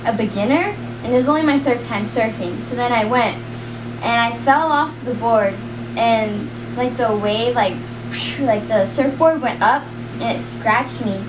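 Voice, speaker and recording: A young woman telling a personal story in a rambling, casual way, full of "like" and quick laughs. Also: fairly high pitch. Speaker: storyteller guest. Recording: roomy, distant, noisy.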